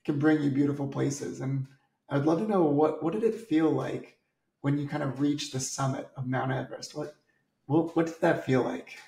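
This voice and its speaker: A man's voice: a little high, moderate pace, creaky, warm tone. A man in his thirties hosting an interview over a video call, upbeat and enthusiastic. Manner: upbeat, enthusiastic, with repeated words in questions. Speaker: host. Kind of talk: scripted intro then interview questions. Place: indoor home office. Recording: echoey room on video call.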